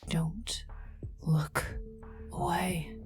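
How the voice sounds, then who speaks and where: A woman playing a cold, sardonic, menacing female scientist in an ASMR roleplay, taunting the listener in breathy half-whispers. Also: low-pitched, very slow. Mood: mocking, menacing. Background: calm ambient music.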